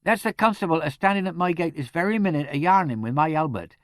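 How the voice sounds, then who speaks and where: A man voicing a gossipy, ghoulish country charwoman in an audiobook, with a rural English accent and a loud, rambling, melodramatic manner. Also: fairly high pitch, fast, no echo, studio quality, pitched above the performer's own voice, lightly acted. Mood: garrulous.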